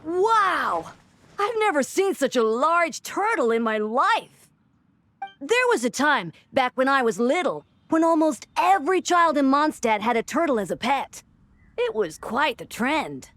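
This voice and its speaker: A woman's voice: high-pitched, big pitch swings, unhurried, fairly bright tone, full character voice. A woman playing a cheerful, sweet young church girl in a video game, speaking eagerly. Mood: delighted. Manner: eager, earnest. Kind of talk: video game character dialogue.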